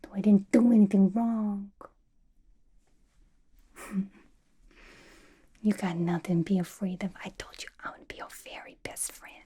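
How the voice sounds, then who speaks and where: A woman voicing a playful, unhinged young villain inmate in a chummy, slangy ASMR roleplay, mostly in a breathy whisper. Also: medium pitch, fairly even intonation, unhurried, pauses often, dark tone. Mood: smug, chummy.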